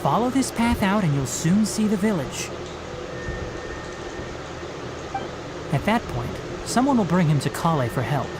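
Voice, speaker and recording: A man voicing a calm, clever young forest ranger in a video game, measured and precise. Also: high voice. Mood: clinical. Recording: game audio with noise.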